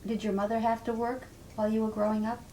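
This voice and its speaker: Soft-toned woman interviewer with faint hiss behind. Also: a little high, flat delivery, quick pace, low-quality recording. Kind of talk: oral history interview.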